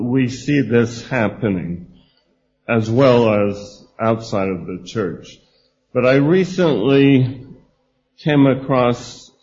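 A man's voice: mid-range voice, darkish tone, dull audio. A man on a church panel, reading scripture and an article aloud in an earnest way. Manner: earnest, reads aloud with small restarts.

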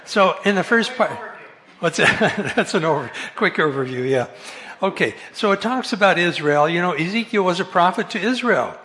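A soft-spoken man giving a relaxed Bible study talk over a microphone in a meeting hall, correcting himself and chuckling now and then. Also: sits high, normal speed. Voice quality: soft-voiced. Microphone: amplified microphone.

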